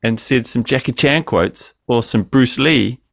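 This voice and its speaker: A man's voice: medium pitch, a little slow, vocal fry, dry recording, studio quality. A man co-hosting a podcast by remote call, joking crudely and swinging between mumbling and exclaiming. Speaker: podcast co-host. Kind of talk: casual podcast banter. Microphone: remote call mic.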